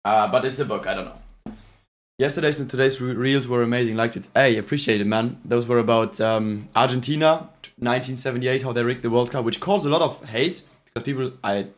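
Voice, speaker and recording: A man's voice: medium pitch, brisk. Man, German accent, livestream host, casual reaction chat, thanks viewers, restarts a lot. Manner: chatty, reads out chat messages, many restarts.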